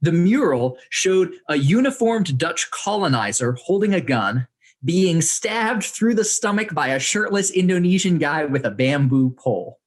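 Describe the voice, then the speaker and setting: A young adult man from the United States, reading aloud from his own book to an audience in a measured way with dry humor. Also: on the high side, sing-song. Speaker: author reading and speaking to an audience.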